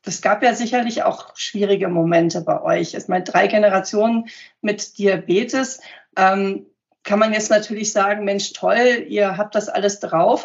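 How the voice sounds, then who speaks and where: A woman hosting a podcast interview, hoarse from a cold, asking encouraging questions. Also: mid-range voice. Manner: warm, encouraging questions with many affirming hums. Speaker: podcast host. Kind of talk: casual interview chat. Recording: dull lo-fi sound.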